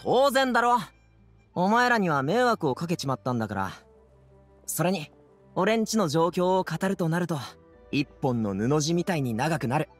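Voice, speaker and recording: A friendly young courier in an anime game. He sounds boyish and easygoing, with a very lively rise and fall in his speech. His delivery is casual and eager to help, over light music. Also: fairly high pitch, moderate pace. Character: cheerful, helpful, easygoing teenage boy courier.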